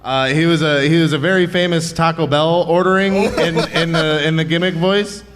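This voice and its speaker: A man appearing as a guest wrestler on a live podcast, easygoing and quick to laugh. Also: a little high, steady tone, fairly bright tone.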